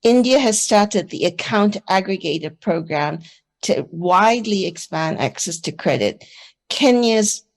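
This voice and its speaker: A woman's voice: medium pitch, expressive, measured pace. A woman from Singapore speaking on a conference panel in an earnest, persuasive manner.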